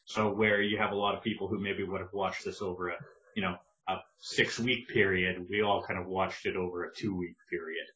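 A man's voice: low-pitched, steady tone, a little fast, pushed and bright. A man co-hosting a podcast in casual chat, laid-back with dry teasing. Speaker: podcast co-host. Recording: dull lo-fi sound.

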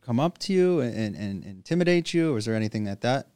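A man hosting a podcast, asking questions in casual chat with halting restarts. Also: mid-range voice, animated, darkish tone, clean tone, no room sound. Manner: hesitant questioner, many restarts. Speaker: podcast host.

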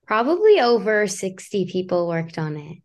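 A young woman in her twenties, a writer-director guest, telling stories in a quick, chatty way with fillers. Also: medium pitch, big pitch swings. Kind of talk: casual interview answers.

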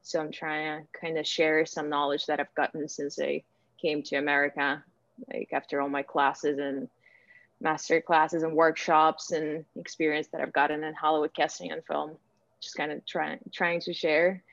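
Casting: woman, Ukrainian accent, low register, conversational and hesitant interviewee, muffled lo-fi recording. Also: flat delivery, moderate pace, creaky, fairly bright tone. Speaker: interviewee, actor and director. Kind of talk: casual interview answers. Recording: echoey room, dull lo-fi sound.

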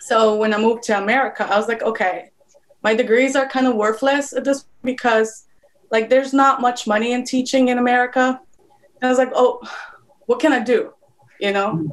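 A woman, her voice sitting a little high. She chats openly as a guest, leaning on fillers like "um" and "you know." She is heard over a video call. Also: steady tone, moderate pace, low-quality recording. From Poland. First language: Polish. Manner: chatty and frank, with fillers and laughs. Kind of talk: casual interview chat.

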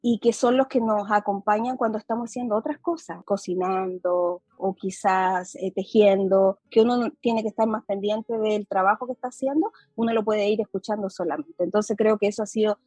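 A woman's voice: mid-range voice, moderate pace, few pauses, breathy edge, dry recording. Chatty woman with a mixed Chilean accent. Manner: chatty, warm laughs, many fillers. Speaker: podcast host. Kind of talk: casual chat over video call. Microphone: video call.

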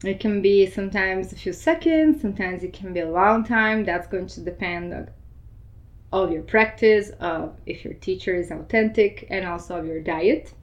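A woman's voice: mid-range voice, normal speed. Woman. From Argentina and Brazil. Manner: laughs often, loose run-on explanations. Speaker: yoga teacher. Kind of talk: casual interview answers.

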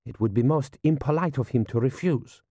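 A man voicing a dry, deferential army general with a measured, courteous manner in an audiobook. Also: medium pitch, dark tone, slightly rough, no room sound, voice pushed up. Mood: drily deferential.

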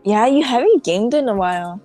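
A woman's voice: fairly high pitch, expressive, fairly quick, warm tone, faint music behind. A teenage girl from Mexico co-hosting a podcast, chatting casually with dry sarcasm. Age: teens. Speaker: podcast co-host. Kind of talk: casual chat.

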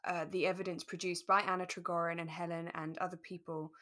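Woman explaining in a lower voice. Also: little pitch movement, a little fast, fairly bright tone. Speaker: podcast co-host. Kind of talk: relaxed discussion of a radio drama.

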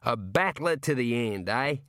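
A man's voice: medium pitch, lively intonation, moderate pace, fairly bright tone, dry recording, clean studio sound. A man voicing a cheerful, chatty prison warder with an Australian accent and a breezy, matey manner, in a storybook reading. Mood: jovial. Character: cheerful chatty male prison warder, jovially morbid, folksy.

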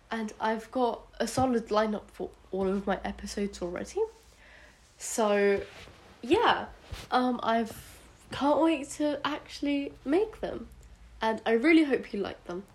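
A woman's voice: on the high side, unhurried, darkish tone. A teenage girl with a British accent hosting a casual solo podcast, hesitant and rambling, sounding sick and sniffly. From United Kingdom, born in India. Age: teenager, about 14 to 15. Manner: hesitant, rambling, self-conscious. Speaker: podcast host.

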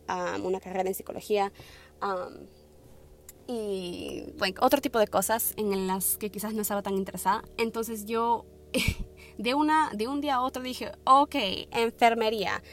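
A woman's voice: medium pitch, slight background noise. A young woman in her twenties talking casually on her podcast in Spanish, hesitant, full of fillers and restarts, with English words slipping in. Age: 20s. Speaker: podcast host. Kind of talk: casual personal talk.

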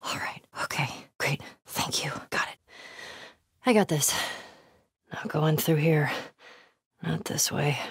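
A woman's voice: deep voice, takes it slowly, long pauses, breathy edge, dry recording, in a whisper, exaggerated voice. Game role: young American female survivor, sardonic, blunt, foul-mouthed, naturalistic delivery, dry studio sound. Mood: tense, muttering. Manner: blunt, sweary. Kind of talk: naturalistic video game acting.

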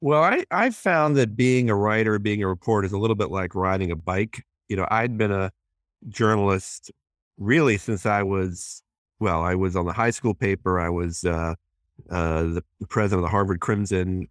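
A man in his sixties with a deep, low-pitched voice, speaking as an interview guest. He answers thoughtfully, often pausing with fillers and restarting his sentences. The recording is dry and close. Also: smooth, no room sound. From born in Hong Kong, raised in US. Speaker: guest, president of a human rights group.

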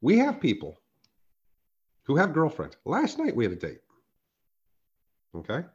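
A man with a raspy, hoarse voice, co-hosting a casual podcast chat, emphatic and quick to laugh. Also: fairly high pitch, muffled recording. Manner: animated, emphatic, swears and laughs often. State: hoarse from a sore throat. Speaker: podcast co-host.

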